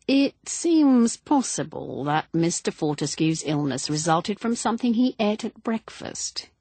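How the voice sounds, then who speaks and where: A woman's voice: lowish voice, very animated, dark tone, no room sound, exaggerated voice. A woman voicing a reserved, formal male police inspector in a storybook reading. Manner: cautious, measured, formal.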